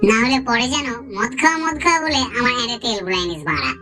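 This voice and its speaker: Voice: medium pitch, big pitch swings, fast talker, lightly acted. A performer voicing a cocky, foul-mouthed slacker gang boss in a comedy cartoon, crude and slangy in manner, with music behind. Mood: boastful. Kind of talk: Bengali comedy cartoon dubbing.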